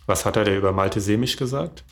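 Chatty male sports interviewer, low voice. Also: quick pace, gravelly, fairly bright tone. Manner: chatty, encouraging questions. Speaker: interviewer, host.

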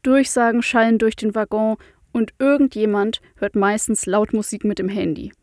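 A woman with a Franconian accent and a rolled R presents scripted news from a studio. Her voice sits a little high, and it is recorded close and dry with no room sound. She reads clearly and at a measured pace. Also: darkish tone, no echo.

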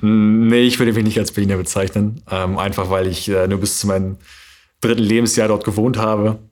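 A man's voice: fairly low pitch, steady tone, a little fast, darkish tone. A young man in his twenties from Germany, a handball player answering interview questions thoughtfully, with frequent hesitations. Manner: thoughtful, many hesitation fillers.

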